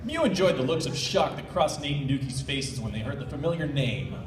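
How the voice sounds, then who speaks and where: Lively male panel narrator, noisy echoey room. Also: a little high, brisk, far from the mic. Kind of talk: casual banter and live narration.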